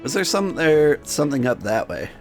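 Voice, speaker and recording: A man's voice: on the high side, very animated, moderate pace, soft background music. Man playing and narrating a story game. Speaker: gamer reading a narrative game aloud. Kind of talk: game playthrough with dramatic read-aloud and casual asides.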